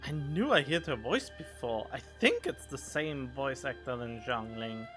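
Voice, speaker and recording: A man's voice: medium pitch, big pitch swings, a little slow. Offhand male streamer over game music. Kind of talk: casual game commentary.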